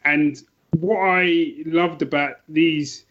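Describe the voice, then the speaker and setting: A man's voice: on the high side, fairly even intonation, extremely slow. A man chatting casually as a podcast guest about books, enthusiastic and rambling as he corrects himself, on dull, lo-fi audio. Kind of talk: casual conversational book chat.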